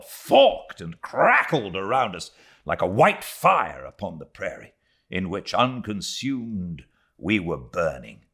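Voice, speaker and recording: A British man giving a dramatic reading of a literary audiobook, theatrical in delivery, moving between swelling intensity and hushed tones. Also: fairly low pitch, husky. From Britain. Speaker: audiobook narrator.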